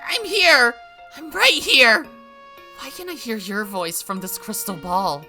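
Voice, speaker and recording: A woman voicing a frightened young girl who shouts frantically and makes demands. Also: fairly high pitch, lively intonation, slow pace, soft background music, voice pushed up, exaggerated voice. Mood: frantic.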